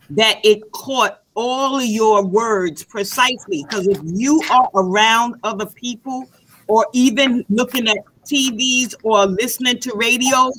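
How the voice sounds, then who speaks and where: Bright-voiced woman in casual discussion. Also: on the high side, poor audio. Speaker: webinar participant sharing experience.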